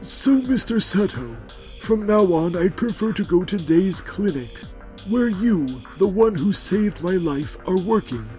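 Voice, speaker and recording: A man plays a frank, particular VIP patient in a manga dub. His voice sits high for a man yet stays soft and mellow, measured and formal as he speaks his mind bluntly. Music runs faintly behind him. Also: animated, rough recording. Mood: grateful, trusting. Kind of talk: animated romantic-comedy dub acting.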